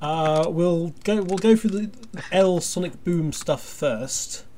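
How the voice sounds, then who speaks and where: A podcast host chatting casually in a halting, rambling way, often restarting sentences, with dry, jokey humour. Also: medium pitch, warm tone, a little hiss or hum. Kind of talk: casual chat.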